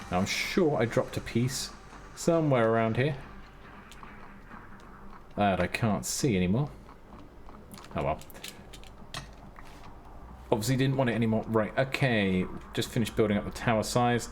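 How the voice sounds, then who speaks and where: A man streaming a building game, muttering casually step by step to himself with self-mocking asides. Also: mid-range voice. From Britain. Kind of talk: live-stream gameplay commentary. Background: his own background music.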